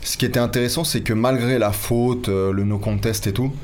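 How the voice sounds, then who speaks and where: A man giving his view in a casual sports discussion, haltingly restating his phrases in a conversational way. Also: mid-range voice, steady tone, a little mellow, slight background noise. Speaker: sports panelist.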